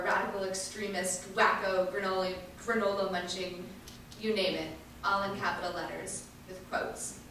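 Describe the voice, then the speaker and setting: A woman giving an earnest, emphatic dramatic reading of a first-person monologue, with deliberate pauses. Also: mid-range voice, fairly bright tone. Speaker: reader performing an activist's first-person testimony. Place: large indoor event space, distant microphone. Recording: echoey hall from far off, faint noise.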